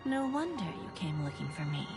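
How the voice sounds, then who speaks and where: A woman's voice: low-pitched, sing-song, normal speed. An actress playing a poised, shrewdly inquisitive woman in dramatic game dialogue. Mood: probing. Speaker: game character voice actor. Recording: loud music over voice.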